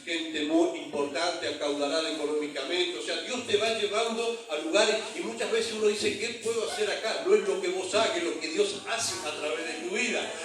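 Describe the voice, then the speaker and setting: A man's voice: sits high, fairly even intonation, moderate pace, few pauses, fairly bright tone, distant mic. A man from San Juan with an Argentine accent, preaching a sermon as pastor, telling stories and calling out to the congregation. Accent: Argentine Spanish. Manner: storytelling with call-and-response to the congregation. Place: church hall. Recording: very echoey hall, voice from afar.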